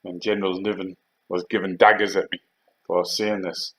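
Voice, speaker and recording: A man's voice: deep voice, flat delivery, fairly bright tone. An older man with a Geordie accent, an army veteran telling rambling war stories in a casual, hesitant way. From Northeast England. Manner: unhurried, rambling, hesitant with fillers. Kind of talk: casual anecdotal storytelling.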